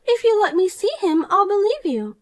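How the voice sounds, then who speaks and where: A woman's voice: extremely high pitch, big pitch swings, normal speed, voice pushed up. A woman voicing a shallow, selfish, jealous young woman in a dramatized story, accusing and self-pitying. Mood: smug.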